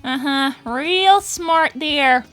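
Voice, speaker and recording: A woman's voice: high voice, extremely slow, fairly bright tone, soft background music, full character voice. A woman voicing a bossy, peppy young female hedgehog in a fan cartoon dub, with an exasperated manner. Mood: bossy, sarcastic.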